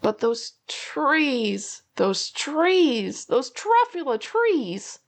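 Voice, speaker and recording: Expressive woman reading aloud, swooping pitch. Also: drawn-out words. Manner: expressive, theatrical delivery for children. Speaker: children's storybook reader. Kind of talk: read-aloud storytelling of a rhyming picture book.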